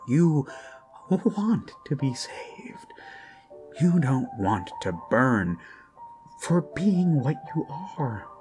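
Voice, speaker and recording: A man voicing an obsessive, menacing yandere kidnapper in an intimate ASMR roleplay, speaking in halting, creepy murmurs that break into sudden emphasis. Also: medium pitch, very animated, a little mellow. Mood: fervent, zealous. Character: obsessive male yandere kidnapper, gushing, menacing, self-abasing zealot. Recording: background music under close voice. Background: music.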